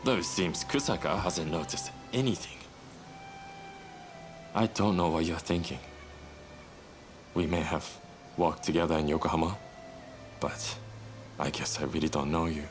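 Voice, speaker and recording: A man's voice: a bass voice, measured pace, pauses often, music in the background. A man playing a roguish, laid-back young revolutionary in a video game cutscene, with a teasing, nonchalant delivery. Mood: cool, wistful.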